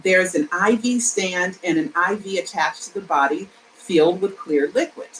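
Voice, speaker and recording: A woman reading her own screenplay aloud, pausing to explain and correct herself in an informal way. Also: on the low side, a little hiss or hum, poor audio. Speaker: screenplay reader.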